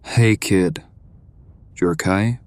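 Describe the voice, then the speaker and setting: Audio drama: male actor as guarded, caring mercenary, plain-spoken, very low, dry close sound. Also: slow, a little mellow, no echo. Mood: concerned. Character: gruff-worded, guarded but caring male hireling.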